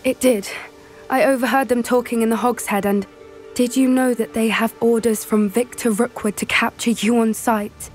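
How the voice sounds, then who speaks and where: An earnest British schoolgirl witch who cares for creatures, played by a young woman in a fantasy game. Her voice is fairly high and confiding. Soft music plays faintly behind her. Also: steady tone, moderate pace, lightly acted. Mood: earnest, concerned. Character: earnest, caring teenage schoolgirl witch, animal protector. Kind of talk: video game cutscene acting.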